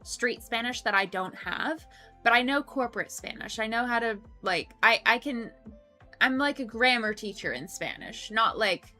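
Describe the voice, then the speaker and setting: Bright-voiced chatty young woman streamer. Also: a little high, expressive, moderate pace. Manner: chatty, laughs often, many ums and restarts. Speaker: streamer hosting a coworking stream. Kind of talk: casual chat reading viewer comments. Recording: faint background music. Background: faint music.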